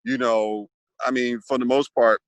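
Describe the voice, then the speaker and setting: A man's voice: mid-range voice, flat delivery, normal speed, no room sound. A man leading a casual panel discussion, thoughtful and rambling with many restarts.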